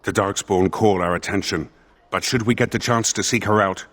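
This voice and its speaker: Spirit in a dead man's body, fantasy game. Low, grave, measured, otherworldly formality. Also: a bit bright. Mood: troubled, grave. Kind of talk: fantasy video game acting.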